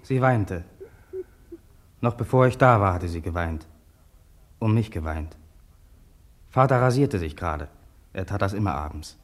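Man playing young soldier back from the war, low voice, short halting lines, radio play. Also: lightly acted. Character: homecoming young German soldier son, subdued and plain. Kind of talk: radio drama first-person recollection.

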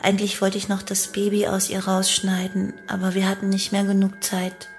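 A young woman cult killer played by an actress narrates her confession in a radio play. Her delivery is flat and detached with a cold exaltation, over music. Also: medium pitch, moderate pace, natural voice. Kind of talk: first-person radio play monologue. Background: music bed.

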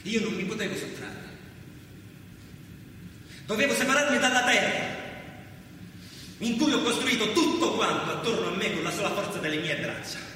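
An actor playing an anguished biblical brother in a radio play, speaking intense, lyrical lines with insistent repetition. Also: male voice, sits high, animated, moderate pace, long pauses, pushed and bright. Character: anguished biblical brother, a toiling man of the land. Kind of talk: poetic theatrical radio drama. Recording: very echoey, distant, noisy hall.

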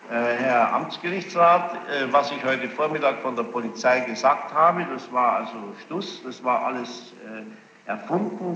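A man questions a witness as presiding judge, formally and insistently, in deliberate phrasing. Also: mid-range voice. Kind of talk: courtroom questioning. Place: large reverberant courtroom with gallery. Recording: noisy, muffled 1960s courtroom tape, echoing hall.

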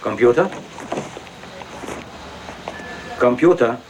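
A man's voice: medium pitch, animated, a little fast, a little mellow, muffled recording, slight background noise, lightly acted. An actor playing an eager, eccentric male professor in a film dub. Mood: puzzled, condescending.

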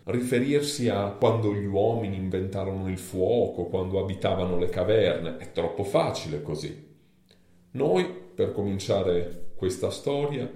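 A man's voice: fairly low pitch, mellow. A man speaking informally and conversationally, explaining and introducing a performance, in an echoing live recording. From Italy. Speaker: author introducing his show. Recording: roomy, echoing live sound.

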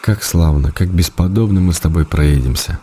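A man's voice: low-pitched, moderate pace, mellow, slight background noise, natural voice. An actor playing an affectionate, wry writer reading his intimate letters to his wife in a radio drama. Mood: cheerful, affectionate.

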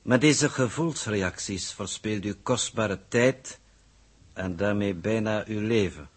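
A coolly clinical coordinator from a 1960s Dutch space radio serial. His voice is fairly low and husky. He chooses formal words precisely and sounds detached, faintly reproachful. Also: takes it slowly.

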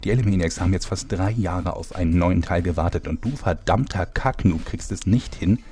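Guy doing a super deep bossy sergeant type, scolding and pompous, comedy radio play, music under it. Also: brisk, mellow, husky. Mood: stern, commanding. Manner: scolding, commanding, swearing. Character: bullying drill-sergeant male coach, contemptuous and pompous gamer. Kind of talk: German parody radio play acting.

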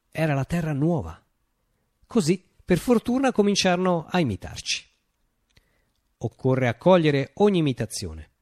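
An actor playing a visionary man recounting his utopian revolution in a declamatory, deliberate radio monologue. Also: a little high, dry recording, studio quality. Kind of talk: theatrical radio monologue, dramatic storytelling.

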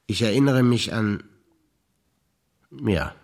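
An older man, a stage actor reciting memories in a measured, alternating theatre piece. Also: on the low side, lively intonation.

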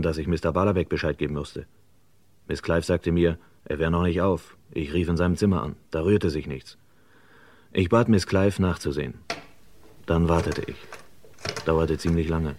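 A man acting as a witness narrating in a radio crime play. The voice is deep and recorded dry, without room. He tells things matter-of-factly, one short step after another. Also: a little mellow, no echo, natural voice. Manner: matter-of-fact, step-by-step storytelling. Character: dry male hotel guest recounting an ordeal to police.

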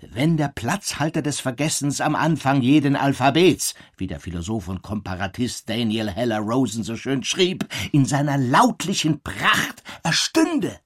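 An actor in a German radio play playing an earnest, eccentric witness who tells a fantastical story in florid, insistent language. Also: male voice, mid-range voice, expressive, unhurried, hardly any pauses, fairly bright tone. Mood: rapt.

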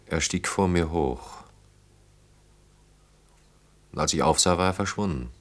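An actor in a German radio play, playing a brooding engineer who speaks reflectively in halting, short phrases. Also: male voice, low-pitched. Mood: melancholy, recounting. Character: brooding engineer haunted by wartime childhood and lost friends.

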